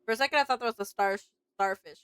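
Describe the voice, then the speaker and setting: High-voiced playful woman streamer. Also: racing, a bit bright, clean tone, no room sound, clean studio sound. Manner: playful, reactive. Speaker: livestreamer playing a visual novel. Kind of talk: casual let's-play commentary to chat.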